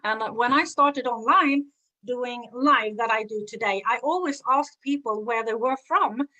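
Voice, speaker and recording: A middle-aged woman from Sweden with a Swedish accent, a coach giving an earnest, energetic live-streamed talk with many "right?" checks. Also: on the high side, low-quality recording. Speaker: online business coach.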